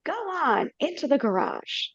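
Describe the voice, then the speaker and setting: A woman's voice: high-pitched, very animated, measured pace, gravelly, fairly bright tone, no echo. A woman reading stage directions and the stepmother's lines in a live video-call read-through, in a plain, matter-of-fact way. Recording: dull, dry video-call audio.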